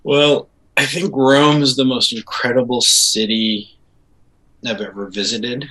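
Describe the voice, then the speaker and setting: A middle-aged man from Israel, a composer and guest, chatting casually in an interview and telling animated stories with fillers. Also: medium pitch, measured pace, low-quality recording. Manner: animated storytelling with laughs and fillers.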